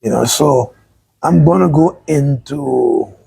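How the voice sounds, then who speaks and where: A man's voice: medium pitch, slow, creaky, dark tone, slightly rough, rough recording. A man from Sint Maarten hosting a casual livestream interview outdoors, chatty and rambling. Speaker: talk show host. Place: outdoors by the beach.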